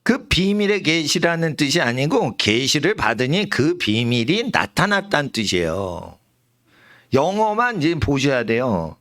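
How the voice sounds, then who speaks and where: A middle-aged man preaching a sermon in church, emphatic and animated, dropping English words into his talk. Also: a little slow. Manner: animated, emphatic preaching with lively pitch swings. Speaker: pastor. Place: church sanctuary.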